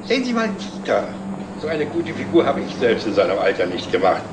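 A man's voice: medium pitch, wildly swooping pitch, a little fast. A man playing a wryly mocking company director in a scripted television drama. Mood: wry, amused. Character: wryly mocking male company director, sardonic and self-ironic. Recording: roomy, distant, noisy 1960s TV soundtrack.

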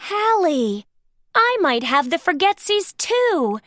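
Woman acting a high-pitched, caring little girl doctor. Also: big pitch swings, slow, fairly bright tone, dry recording, clean studio sound, heavily acted. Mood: dismayed. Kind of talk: children's storybook character reading.

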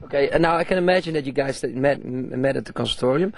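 A man's voice: medium pitch, fast, creaky, slight background noise. A man interviewing a band with a handheld mic, chatty and loose, switching between Dutch and English. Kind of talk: casual interview. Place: indoor festival lounge with posters.

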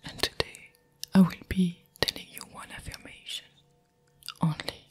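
A woman with a French accent guiding listeners through a soothing ASMR affirmation, leaving long pauses between short phrases. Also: faint music behind. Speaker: meditation and ASMR guide. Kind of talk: whispered ASMR guided affirmation.

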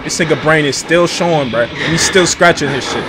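A young man commenting casually as he plays a horror game, loud and joking, repeating phrases, on a headset mic in a small room. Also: on the high side, a little fast, background noise. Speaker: gaming streamer. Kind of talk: casual let's-play commentary.

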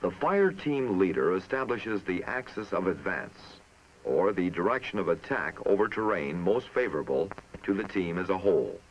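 Deep-voiced American narrator, muffled old film. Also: male voice, animated, a little hiss or hum. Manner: formal, measured, authoritative. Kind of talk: scripted military instructional narration.

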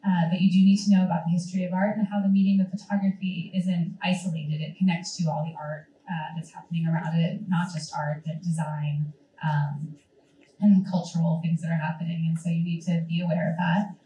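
A woman speaking as a museum curator at a college career talk, just getting over a cold so her voice cracks a little, talking in a relaxed way with many ums. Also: fairly low pitch, brisk. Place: college lecture room with audience. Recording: echoey room, distant mic.

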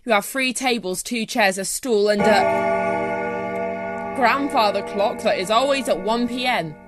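A woman's voice: a little high, takes it slowly, over music. A woman playing a sensible, exasperated British manager of two inept brothers in a comic audio drama, sarcastic and weary.

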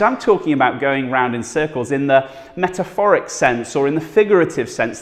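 A man's voice: on the high side, in a large hall. A pastor in his thirties giving an earnest, conversational sermon from a small living room at home, talking with his hands. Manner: earnest, conversational, gesturing while speaking.

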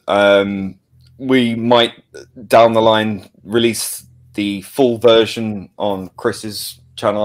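A man talking casually in an interview as an audio drama producer, enthusiastic and often restarting his phrases. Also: mid-range voice.

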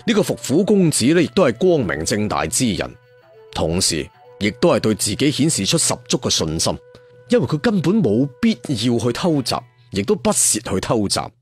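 A man's voice: medium pitch, wildly swooping pitch, normal speed, slightly rough, faint music behind. A man telling a story theatrically, like a traditional serial storyteller with asides to listeners. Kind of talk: dramatic Cantonese audiobook storytelling.

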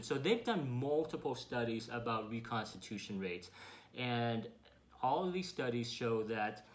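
A man's voice: medium pitch, fairly bright tone. A man in his forties, a doctor, patiently explaining a demonstration at his office desk with everyday comparisons. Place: office with framed pictures, indoors.

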